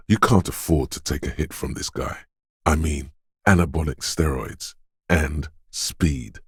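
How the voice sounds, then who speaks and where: Very deep, knowing inner-voice game narrator. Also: male voice, a little mellow, breathy edge, dry recording, studio quality, exaggerated voice. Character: detective's inner voice of quick reflexes, alert, wry narrator. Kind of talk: dramatic video game narration.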